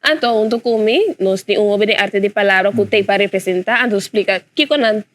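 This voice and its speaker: A woman's voice: medium pitch, fast. A woman from a cultural association, a radio guest, explaining an upcoming commemoration steadily. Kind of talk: casual interview explanation.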